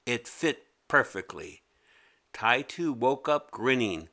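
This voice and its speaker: A man narrating a children's story as a read-aloud, expressive and deliberate. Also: medium pitch.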